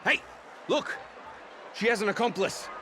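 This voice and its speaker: A man's voice: high-pitched, measured pace, bright, forceful tone, slight background noise. A man voicing a blustering patrol underling in a game. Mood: aggressive, gloating. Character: blustering male patrol goon, nervously deferential underling. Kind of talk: game voice acting.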